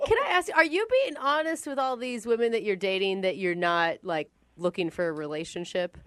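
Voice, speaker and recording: A woman's voice: medium pitch, fairly quick, fairly bright tone, smooth, no echo. A woman co-hosting a radio show in casual, chatty banter. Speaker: radio co-host.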